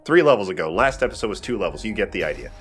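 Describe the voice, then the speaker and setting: Male gameplay host, deep register, casual rambling banter with swearing, faint music behind. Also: sing-song, rapid-fire. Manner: rambling, profane, joking. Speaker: let's play host, playing the game. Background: game soundtrack music.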